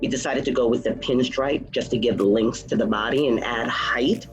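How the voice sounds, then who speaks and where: A man presenting student outfits, describing each garment in a smooth, salesy way. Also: medium pitch, fairly even intonation, normal speed. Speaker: fashion presenter. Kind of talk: runway-style commentary on outfits. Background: background music.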